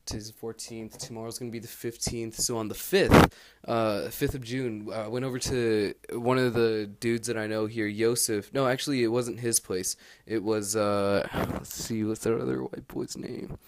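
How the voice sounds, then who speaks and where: Rambling young man, low easygoing voice. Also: fairly even intonation, normal speed, few pauses, very noisy. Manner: meandering, full of fillers and restarts; laid-back and candid. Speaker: exchange student keeping an audio diary. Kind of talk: rambling personal audio log. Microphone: handheld recorder held close.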